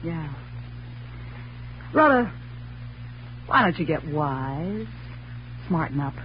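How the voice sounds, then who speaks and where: An actress playing a tough, sultry, scheming gangster's moll with American slang in 1940s radio crime drama style. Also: female voice, deep voice, sing-song, slow pace, exaggerated voice. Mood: coaxing. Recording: muffled, noisy old radio recording with hum.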